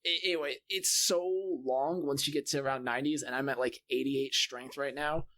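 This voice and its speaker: Medium-pitched man in casual gaming chat. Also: fairly even intonation, fairly quick, pushed and bright. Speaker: podcast co-host.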